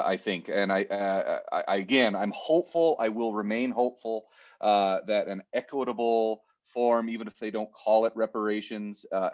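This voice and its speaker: A man teaching at a university, speaking on a panel in a hesitant, measured way with many fillers, over a video call. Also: on the low side, dull audio. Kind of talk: unscripted panel remarks.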